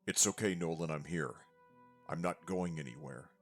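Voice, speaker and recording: A man voicing a gentle, grateful father in a visual novel, reassuring and measured. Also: a bass voice, soft background music. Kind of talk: amateur visual novel voice acting.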